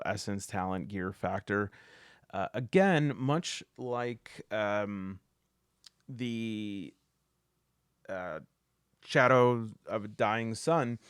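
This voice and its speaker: A man hosting a solo tabletop game show, talking casually to camera in a halting way with lots of fillers and restarts. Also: on the low side, clean tone, dry recording, clean studio sound.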